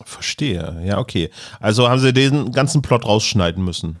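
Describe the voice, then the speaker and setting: A man's voice: lowish voice, lively intonation, moderate pace. A man co-hosting a podcast, chatting casually in an informal, jokey way. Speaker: podcast co-host. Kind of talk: casual chat.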